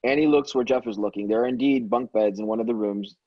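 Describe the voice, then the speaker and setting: A man's voice: mid-range voice, fast talker, dry recording, lo-fi sound. A man reading script stage directions aloud in a plain, matter-of-fact way, with occasional stumbles. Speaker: stage directions reader at a table read.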